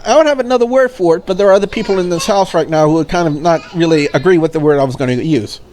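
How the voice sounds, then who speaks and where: A man's voice: a little high, fast talker, a little mellow, a little hiss or hum. A man doing a casual game playthrough, reading aloud and adding his own offhand comments. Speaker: let's player reading a visual novel aloud.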